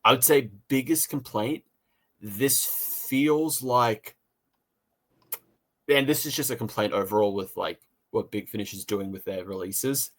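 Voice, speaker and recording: Man, low voice, casual review chat, rambling, repetitive phrasing, podcast co-host. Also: unhurried, fairly bright tone.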